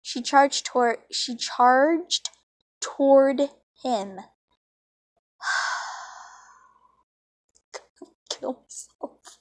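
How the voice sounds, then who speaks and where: A woman's voice: high voice, extremely slow, pauses often, no room sound. A young woman reading a story aloud casually, with informal, self-deprecating, giggly asides. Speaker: fan fiction reader. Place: dry, close recording on a phone.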